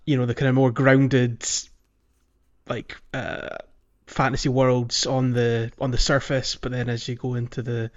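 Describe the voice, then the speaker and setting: A man co-hosting a casual podcast discussion, talking in a halting, rambling way and often repeating words. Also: mid-range voice, little pitch movement, highs cut off. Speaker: podcast co-host.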